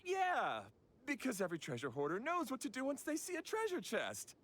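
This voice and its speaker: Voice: high-pitched, huge pitch swings, fairly quick, fairly bright tone, slight background noise, exaggerated voice. An actor playing a flustered, hesitant bandit lackey in a video game, eager to explain everything. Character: nervous, eager-to-talk bandit lackey pleading for mercy.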